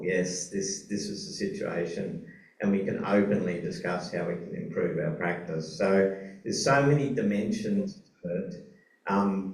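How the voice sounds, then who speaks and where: A man on a conference panel, speaking in a measured, thoughtful way with some hesitations. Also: sits low, darkish tone. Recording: roomy conference hall, mic far off.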